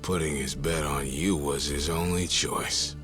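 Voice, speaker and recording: Gangster rival, extremely deep voice, slow, husky half-whisper, music underneath. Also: male voice, fairly even intonation. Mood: taunting. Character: gravelly gangster rival, cocky and taunting. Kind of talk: video game cutscene dub. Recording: game audio with music behind.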